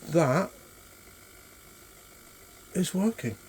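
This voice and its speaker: A man's voice: on the high side, animated, very slow, a little mellow, a little hiss or hum. A man thinking aloud in short, tentative fragments during a casual hands-on demonstration. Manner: tentative, murmuring short fragments while working. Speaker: vintage electronics hobbyist and presenter.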